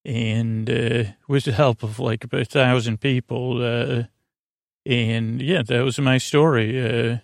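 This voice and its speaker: Creaky-voiced man rambling, close and dry. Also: mid-range voice, fairly even intonation, no echo. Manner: halting, meandering, with trailing tangents and invented dialogues. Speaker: podcast host. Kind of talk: rambling absurdist bedtime monologue.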